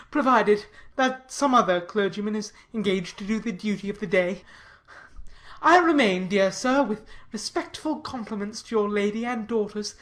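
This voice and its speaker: A woman reading a pompous, obsequious clergyman's letter aloud in a dramatized audiobook, in a formal and deliberate manner. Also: a little high, close to an everyday voice.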